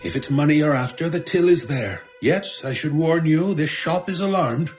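A man's voice: fairly high pitch, expressive, normal speed, soft background music, close to an everyday voice. An actor playing a nervous, frightened but placating male shopkeeper in a horror radio drama, anxious and prone to stammer. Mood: alarmed. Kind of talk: old time radio style horror audio drama.

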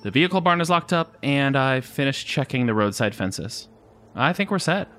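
An actor playing a friendly campground worker in audio drama dialogue, casual and easygoing. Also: male voice, mid-range voice, expressive, moderate pace, a bit bright, faint music behind, natural voice. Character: friendly male campground worker reporting to his boss.

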